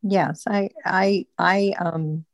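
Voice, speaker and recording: A woman's voice: lowish voice, steady tone, drawn-out words, gravelly. A woman talking casually as a participant in a group discussion.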